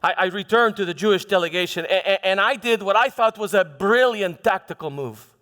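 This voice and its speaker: A proud Roman governor played by a man defends himself in a high-pitched, halting stage monologue. He is frustrated and troubled, stumbling back over his own words. The voice rings through a large hall with long reverb. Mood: frustrated, defensive. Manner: halting, repeats words, swings from hushed to shouting. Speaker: preacher performing a dramatized monologue. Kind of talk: live theatrical first-person monologue. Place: large church hall, live performance.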